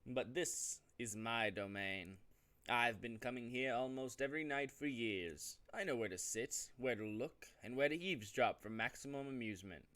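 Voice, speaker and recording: A man hosts a casual gaming show in an ordinary mid-pitched voice. He moves between reading game text aloud and offhand, joking commentary. His delivery rambles in a relaxed let's-play style. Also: normal speed.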